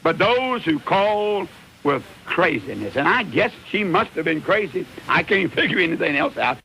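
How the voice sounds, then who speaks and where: A man's voice: on the high side, very animated, moderate pace, gravelly, sharp tone. A man hosting a live religious call-in radio show as a preacher, speaking earnestly and emphatically and often repeating himself. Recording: hissy, noisy radio broadcast recording.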